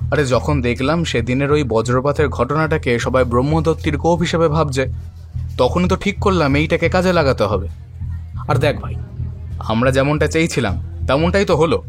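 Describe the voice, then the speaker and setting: A man's voice: a little high, close to an everyday voice. A man playing a scheming, sarcastic young local fellow in an audio horror story, questioning and scoffing in colloquial speech. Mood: conspiratorial. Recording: faint music behind the voice. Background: faint music.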